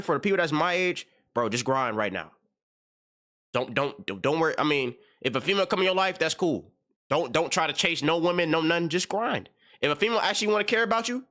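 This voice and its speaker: Bright-voiced young man ranting in slang. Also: sits high. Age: 20s. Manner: slangy, repeats words, rambling. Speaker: reaction channel host. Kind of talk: casual reaction commentary.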